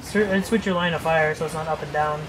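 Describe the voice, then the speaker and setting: A man co-hosting a let's play with casual, excitable, jokey and sweary commentary. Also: fairly high pitch, fast talker, slight background noise. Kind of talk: casual video game commentary. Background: horror game sound.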